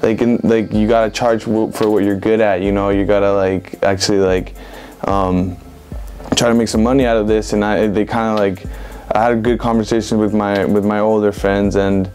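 A man in his twenties from Venezuela talks through his answers indoors. His voice sits low and stays quite flat. He rambles casually, filling pauses with "like" and "um", and soft music plays underneath. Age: 20s. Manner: relaxed, rambling with many fillers like "like" and "um". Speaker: interviewed street photographer. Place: indoor room with bookshelves.

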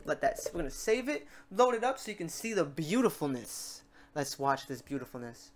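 A man's voice: a little high, very animated, moderate pace, a little hiss or hum. Casual man talking through a tutorial. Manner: informal, chatty, talks through steps aloud. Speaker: tutorial host. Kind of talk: casual software tutorial narration.

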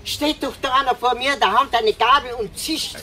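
An actress playing an agitated, indignant older housewife with a Viennese accent in a radio play. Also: female voice, a little high, fairly quick, a little hiss or hum. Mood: agitated recounting. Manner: excitable, dialect-speaking, dramatic. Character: agitated, indignant 64-year-old Viennese housewife, attack victim. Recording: crowd murmur behind.

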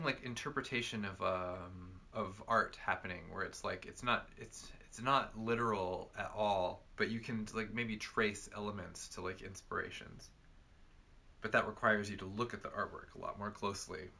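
A man's voice: on the low side, sharp tone. A young man co-hosting a perfume review, chatting casually with lots of fillers in an indoor room. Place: cluttered indoor room with bookshelves.

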